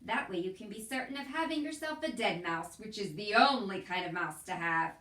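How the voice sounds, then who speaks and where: A woman voicing a loud, bossy older kitchen cook who shouts in rough country speech. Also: mid-range voice, expressive, normal speed, echoey room, lightly acted. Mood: gruff.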